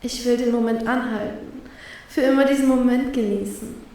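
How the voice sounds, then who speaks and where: A woman's voice: fairly high pitch, steady tone, moderate pace, a little mellow, voice pushed up, natural voice. A woman voicing the flustered inner thoughts of a nervous young woman, swearing under her breath. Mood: dreamy. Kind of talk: inner thoughts in a fan fiction reading. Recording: very echoey, from far off.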